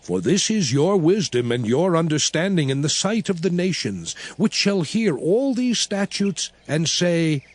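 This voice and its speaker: Slow, solemn commanding biblical prophet. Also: male voice, a little high, sing-song, no echo. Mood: solemn, exhorting. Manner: solemn, oratorical, measured, emphatic. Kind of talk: dramatized scripture reading. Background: music.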